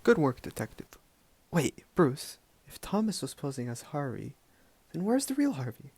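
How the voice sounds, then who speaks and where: A man acting a gruff, wry police commissioner in an amateur radio play. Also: on the high side, big pitch swings, moderate pace, a little mellow, no room sound, full character voice. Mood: wry, puzzled.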